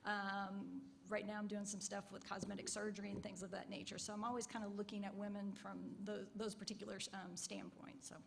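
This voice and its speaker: A woman's voice: mid-range voice, flat delivery, a little fast, creaky, hardly any pauses, slight background noise. A woman advertising professor introducing herself on a panel, casual and hesitant with many fillers.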